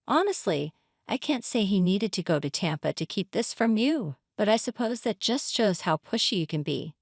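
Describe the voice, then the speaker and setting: A woman's voice: mid-range voice, sing-song, moderate pace, gravelly, dry recording, studio quality. Smug older mother-in-law, voiced by a woman narrator. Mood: smug, condescending. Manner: condescending, dismissive. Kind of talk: dramatized story reading.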